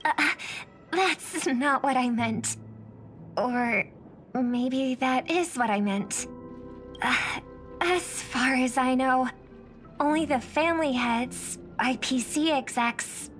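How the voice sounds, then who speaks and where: A woman playing a poised, polite young female singer in a video game, speaking in a measured, courteous way. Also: high voice. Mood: hesitant, surprised. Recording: game dialogue over music.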